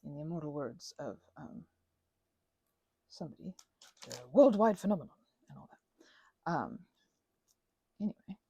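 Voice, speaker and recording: Woman, thirties, lowish pitch, mellow tone, casual rambling crafter chat, wry self-deprecation. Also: sing-song, normal speed, vocal fry, long pauses, husky. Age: 30s. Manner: self-deprecating, sarcastic, digressive. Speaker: crafting vlogger and ASMR creator.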